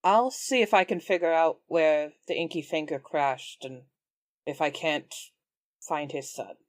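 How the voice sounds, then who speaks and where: A woman's voice: low voice, a little slow, no echo, studio quality, pitched below the performer's own voice. A woman playing a firm, caring, plain-spoken adventurer in a tabletop game. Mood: resolute.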